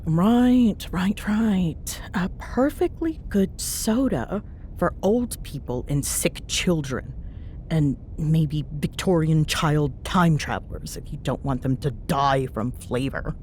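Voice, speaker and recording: A woman's voice: animated, slow pace, darkish tone. A woman playing a teasing, bossy but fond young ghost hunter in an intimate ASMR roleplay, bantering sarcastically in a casual, murmuring way. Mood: amused, teasing. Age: young adult. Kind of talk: ASMR audio roleplay, intimate one-sided chat. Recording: clear background noise with low rumble.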